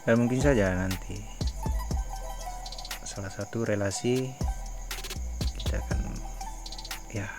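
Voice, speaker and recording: A low-pitched man acting as a family boss in a game roleplay gives instructions in short, deliberate phrases. He pauses often between them, and music plays clearly behind him. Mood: measured, instructive. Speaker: family leader in online roleplay. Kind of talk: improvised game roleplay meeting.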